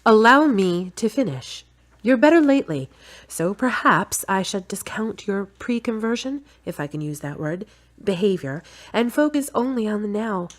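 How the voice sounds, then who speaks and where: A woman's voice: mid-range voice, very animated, normal speed, close to an everyday voice. An actress playing a sensible, exasperated woman in audio drama dialogue, frank and articulate. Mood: hurt, exasperated.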